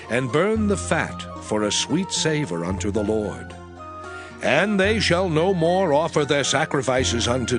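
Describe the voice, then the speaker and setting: Man, scripture narrator, solemn and expressive, lively rising and falling intonation, music underneath. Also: medium pitch.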